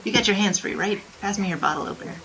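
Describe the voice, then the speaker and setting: A woman's voice: medium pitch, fast, sharp tone, poor audio. A woman voicing a brash, wisecracking 1920s bandit who talks in tough-guy slang, in an audio drama. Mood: scheming.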